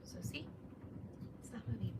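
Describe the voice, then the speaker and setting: A woman in her thirties with a soft, relaxing Midwestern voice, chatting casually with viewers during a crafting livestream. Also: normal speed. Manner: chatty, greets viewers while working. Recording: distant mic with background noise.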